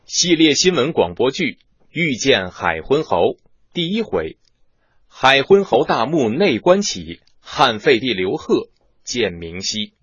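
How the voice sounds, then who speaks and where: Slow, dramatic male announcer. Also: mid-range voice, sing-song, a bit bright, slightly rough, dry recording. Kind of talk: dramatic scripted title announcement. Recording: muffled, lo-fi playback.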